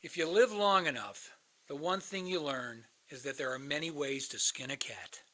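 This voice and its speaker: A man's voice: on the high side, normal speed, fairly bright tone, natural voice, rough recording. An actor in a radio play, playing a cynical, bitter older man, a world-weary crisis hotline veteran who likes to philosophize. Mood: bitter, subdued.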